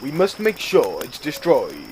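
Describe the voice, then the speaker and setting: Man as a highish-voiced rabbit starship captain. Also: wildly swooping pitch, a little slow, darkish tone, a little hiss or hum, poor audio. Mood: commanding. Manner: commanding, earnest. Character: decisive, caring male rabbit starship captain. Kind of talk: science-fiction audio drama acting.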